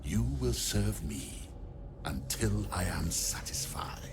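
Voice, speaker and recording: An actor playing a haughty, sinister necromancer in a video game, with pompous, theatrical, drawling delivery. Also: male voice, deep voice, animated, slow pace, noisy background. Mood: cold, commanding. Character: sinister, haughty male necromancer, vain about his grisly creations. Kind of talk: dramatic video game acting.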